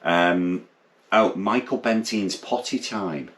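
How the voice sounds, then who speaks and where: A man's voice: low voice, slow pace, fairly bright tone. A man from England co-hosting a podcast, reminiscing in casual chat and often tagging his remarks with questions. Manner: chatty, reminiscing, tag questions. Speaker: podcast co-host.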